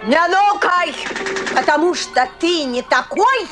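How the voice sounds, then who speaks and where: An actress playing a scornful, quarrelsome wife in an absurdist stage play, insistent and mocking. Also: female voice, very high voice, expressive, slow pace, music in the background, exaggerated voice. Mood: argumentative. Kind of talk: theatrical stage acting.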